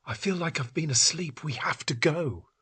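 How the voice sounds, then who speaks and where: A man's voice: fairly high pitch, moderate pace, a bit bright, slightly rough, no echo, pitched above the performer's own voice. A man voicing an agitated, demanding woman in a literary story, with an urgent, complaining manner.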